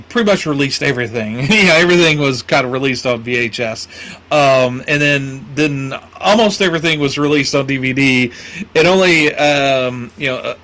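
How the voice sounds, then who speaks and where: Rambling man with a higher voice. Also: normal speed, sharp tone, low-quality recording. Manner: rambling, many fillers and restarts, laughs often. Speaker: podcast co-host. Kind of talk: casual film discussion.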